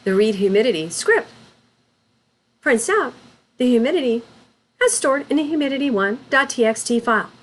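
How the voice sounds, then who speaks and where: Woman doing a step by step tech tutorial voiceover, highish voice, really up and down pitch, careful wording. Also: expressive. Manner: deliberate, instructional, spells out terms letter by letter. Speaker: instructor. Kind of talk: scripted tutorial narration. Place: voice-over for a screen recording.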